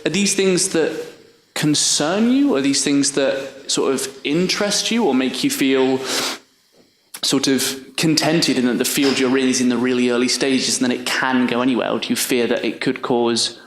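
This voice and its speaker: A man with a mid-range voice puts a long chain of questions to a panel, one after another. The sound carries an obvious room echo with faint noise behind it. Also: mid-range voice. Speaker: audience member asking a question. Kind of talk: panel discussion. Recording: echoey room.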